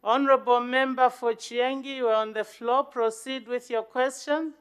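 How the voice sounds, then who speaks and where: A woman chairs a parliamentary sitting. Her voice is slightly high and very clean and smooth, and she hands out rulings in a formal, authoritative way. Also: fairly even intonation, moderate pace. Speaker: parliament speaker presiding.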